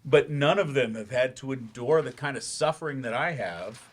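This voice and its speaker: A man's voice: mid-range voice, normal speed, a little hiss or hum, close to an everyday voice. A male actor in a staged play reading, playing a frustrated, defensive therapy patient who talks in a hesitant, grudging way. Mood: aggrieved, defensive. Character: defensive, frustrated man in therapy, once-promising writer turned teacher.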